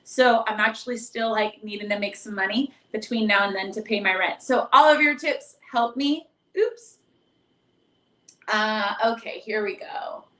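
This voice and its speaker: A woman with a hoarse voice chatting casually and affectionately as she hosts a livestream as a tarot reader, reading out viewer comments. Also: medium pitch, unhurried, a bit bright. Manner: effusive, affectionate greetings and endearments. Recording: echoey, roomy live stream.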